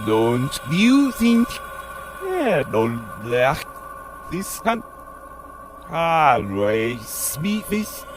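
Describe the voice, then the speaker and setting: An eerie, towering inhuman figure speaks in a high, strained tone from far off, each phrase drawn out slowly and broken apart. It sounds loud and pushed, and its pitch swings wildly across every line. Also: male voice, heavily acted. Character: towering silent male entity, uncanny house-puppet. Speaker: voice in a horror audio drama.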